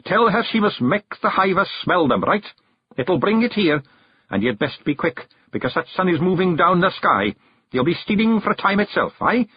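Bossy little fairy clan chief, voiced by a man, thick Scottish brogue, high and animated, dull lo-fi sound. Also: slightly rough, dry recording.

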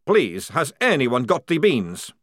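A man voicing a gloomy male soldier who moans slowly about his lost coffee. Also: mid-range voice, sing-song, no echo, studio quality, voice pushed up. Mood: moaning. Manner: slow moaning.

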